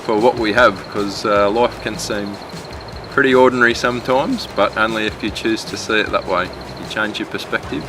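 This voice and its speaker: A man's voice: fairly low pitch, normal speed. A man talking casually and reflectively, recorded outdoors. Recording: clear outdoor background noise.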